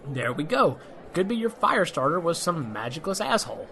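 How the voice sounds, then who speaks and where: Man playing a deadpan, skeptical sidekick. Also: a little high, normal speed, full character voice. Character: deadpan male skeptical sidekick at a magic convention. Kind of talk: comedic fantasy audio drama.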